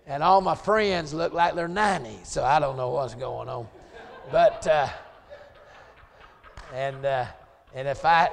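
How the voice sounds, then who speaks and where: A man in his late forties with a Southern US accent, preaching a revival sermon in a church. He drops into quiet joking asides with the congregation, then climbs to hollered scripture. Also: fairly high pitch, long reverb tail. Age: 40s. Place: church sanctuary.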